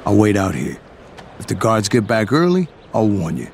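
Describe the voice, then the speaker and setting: Gravelly scheming inmate. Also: male voice, lowish voice, sing-song, moderate pace, slight background noise. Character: battered male prison inmate, scheming and eager. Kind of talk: video game cutscene acting.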